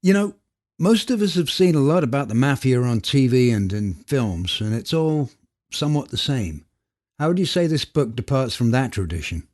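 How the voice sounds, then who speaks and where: A man's voice: mid-range voice, expressive, moderate pace, darkish tone. A man co-hosting an interview and asking questions in a conversational way. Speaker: podcast co-host, interviewer.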